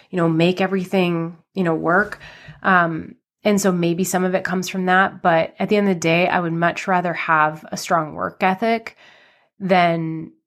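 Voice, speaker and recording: A woman in her thirties hosting her podcast, telling her life story in a chatty, rambling way, slightly nasal from a cold. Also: fairly low pitch. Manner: chatty, rambling with many fillers and restarts. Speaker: podcast host. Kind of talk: casual personal storytelling.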